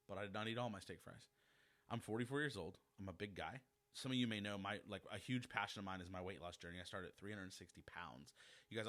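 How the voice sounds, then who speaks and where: Podcast host, forties, man, bright forceful voice, chatty and self-deprecating. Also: medium pitch. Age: 40s. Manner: chatty, animated, self-deprecating, addresses listeners directly. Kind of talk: casual solo podcast monologue.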